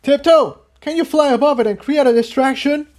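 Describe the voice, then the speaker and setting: A man's voice: extremely high pitch, brisk, darkish tone, voice pushed up. A man voicing an earnest, commanding male unicorn mentor in a fantasy story reading. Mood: urgent, commanding.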